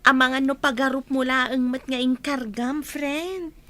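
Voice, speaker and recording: A woman's voice: high-pitched, steady tone, a little slow, pushed and bright, no room sound. A woman with an Ilocano accent playing a doubtful, questioning friend in a radio drama.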